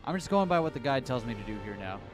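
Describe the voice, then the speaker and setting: A man at a middle pitch streams a game from his warm room at home, his voice a little raspy from a long session. He reads narration evenly and chats in a relaxed, rambling way, with faint music behind him. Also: quick pace. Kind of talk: reading a visual novel aloud and chatting on stream.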